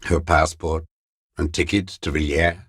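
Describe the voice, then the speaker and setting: A man playing a bitter, hateful old communist deserter in a video game, brooding and contemptuous, with a gravelly, raspy voice and halting delivery. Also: very deep voice, fairly even intonation, a bit bright, dry recording, studio quality, heavily acted. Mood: obsessive, brooding. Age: older. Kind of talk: video game character monologue.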